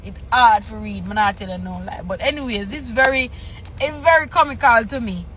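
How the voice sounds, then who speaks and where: A woman's voice: a little high, a little fast, fairly bright tone. A Jamaican woman reacting to a text and reading it aloud, incredulous and laughing, repeating herself for emphasis. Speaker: reaction video host.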